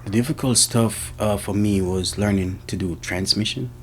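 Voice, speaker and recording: A man's voice: lowish voice, moderate pace, fairly bright tone, a little hiss or hum. A man with a Jamaican accent giving casual answers in an interview. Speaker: interviewee, senior car technician.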